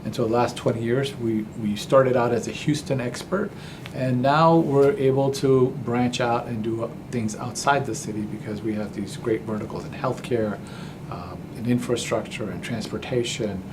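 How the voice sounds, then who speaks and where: A man's voice: mid-range voice, normal speed, noisy background. A man from Pakistan answering interview questions in a friendly way, often repeating words as he starts. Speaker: interview guest, business founder.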